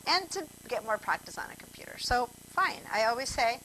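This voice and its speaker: A woman's voice: on the high side, very animated, a little fast, bright, forceful tone, a little hiss or hum, low-quality recording. A woman from Argentina teaching a computer class over a video call, chatty and digressive, speaking straight to her students. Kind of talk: online class instruction.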